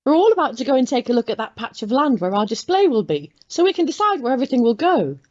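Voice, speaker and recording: A woman's voice: sits high, sing-song, fast talker, a little mellow, muffled recording. A woman playing a bustling, bossy but chummy organiser who chivvies people along in an audio drama. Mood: brisk, cheerful. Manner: exclamatory, chivvying.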